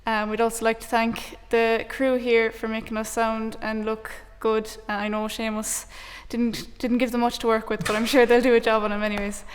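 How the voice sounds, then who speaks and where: A woman's voice: a little high, level tone, normal speed, hardly any pauses, long reverb tail. A young Irish-speaking woman from Connemara with a Connemara Irish accent, thanking the organizers from a stage in a friendly, teasing way. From Conamara, Ireland. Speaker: traditional musician.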